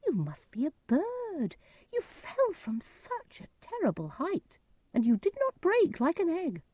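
Woman putting on a high-pitched, wonderstruck young male helper. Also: wildly swooping pitch, unhurried, darkish tone, dry recording, full character voice. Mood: astonished, coaxing. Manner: high, exclaiming, coaxing. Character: nobleman's son feigning a strange, astonished helper.

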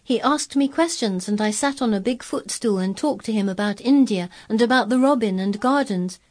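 A woman's voice: on the high side, moderate pace, a little mellow, natural voice. A woman voicing a stubborn, self-possessed young girl who speaks firmly and plainly in a storybook reading. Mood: matter-of-fact. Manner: firm, plain-spoken, earnest.

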